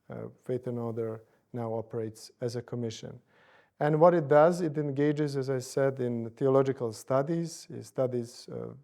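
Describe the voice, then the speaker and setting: A man in his forties from Bosnia and Herzegovina, speaking, answers interview questions in a measured way, with frequent fillers and hedges. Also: medium pitch, mellow. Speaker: interviewee, church commission director.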